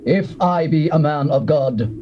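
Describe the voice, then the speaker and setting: An actor playing a fierce male prophet who slowly calls down judgment in a dramatized scripture recording. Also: a little high, close to an everyday voice. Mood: defiant. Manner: slow, defiant pronouncement. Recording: dull tape with loud hiss.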